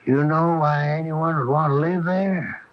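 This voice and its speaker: An actor playing a curious, easygoing American gold prospector with a folksy, questioning manner in a radio drama. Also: male voice, on the high side, fairly even intonation, a little slow, close to an everyday voice. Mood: curious, insistent. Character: easygoing small-town amateur gold prospector, curious and hopeful. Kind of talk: 1980 radio drama acting. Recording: dull lo-fi 1980 radio broadcast.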